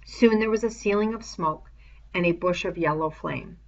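A woman's voice: fairly low pitch, moderate pace. A woman reading a novel aloud as scripted narration, on a dull lo-fi recording. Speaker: audiobook reader.

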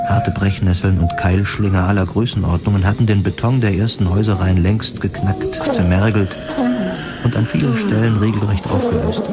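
Male narrator, low pitch, scripted sci-fi audio drama, first-person storytelling, loud plucked guitar music behind.

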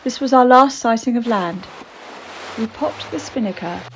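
Woman skipper narrating a sailing film, calm and measured, slightly high voice, faint hiss behind. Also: rough recording. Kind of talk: scripted voice-over narration.

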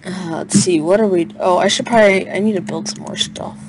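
A young man joking excitably and casually with friends while playing a game over voice chat. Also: high-pitched, brisk. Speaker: gaming guest and YouTuber. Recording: clearly noisy voice chat with clicks.

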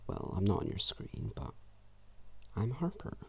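A teenage boy rambling self-mockingly through a low-key channel intro in a flat, gravelly voice. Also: unhurried, lo-fi sound. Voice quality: gravelly, husky, flat-sounding voice. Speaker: YouTuber. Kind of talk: casual channel intro voice-over.

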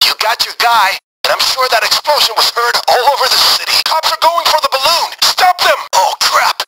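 An actor playing a brisk, commanding man who plans heists, giving clipped mission orders over the radio in a video game. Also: very high-pitched, expressive, creaky, heavy background noise, heavily acted. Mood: urgent, commanding. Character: brisk, commanding male heist planner directing a gang.

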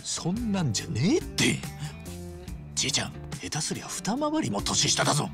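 A man playing an earnest, hot-blooded middle-aged hero in a game, with heartfelt, rough-edged phrasing. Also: a little high, huge pitch swings, moderate pace, full character voice. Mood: exasperated, fond. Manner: rough casual speech, heartfelt. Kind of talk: Japanese game voice acting. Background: music.

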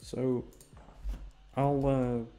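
A man's voice: mid-range voice, flat delivery, drawn-out words, mellow. A man chatting casually as a host, rambling aimlessly and self-deprecatingly with plenty of swearing. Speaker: voice actor and channel host. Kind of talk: casual rambling outro chat.

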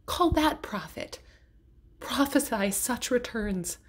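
A woman reading poetry aloud in a measured, deliberate way, pausing between phrases. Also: fairly high pitch, animated. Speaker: poetry reader. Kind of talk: poetry recitation.

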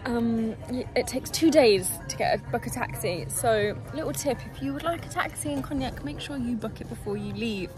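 A woman's voice: fairly high pitch, moderate pace, hardly any pauses. A young woman, a travel vlogger chatting upbeat to viewers from a camera held at arm's length, outdoors. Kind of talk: casual vlog narration to camera. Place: outdoors in a town and on a rooftop terrace. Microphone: handheld camera at arm's length. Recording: music playing under the voice.

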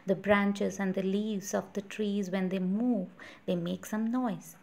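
A woman with an Indian accent teaching children, explaining a poem deliberately and repeating herself as she goes. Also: mid-range voice, mellow. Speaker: English teacher.

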